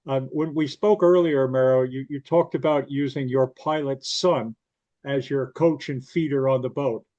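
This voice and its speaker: A man's voice: mid-range voice, fairly even intonation, normal speed, a little mellow, highs cut off. A man hosting an interview, explaining background to viewers between his questions, with frequent "uh" and "um". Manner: explains context for viewers, filler-laden. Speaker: interview host.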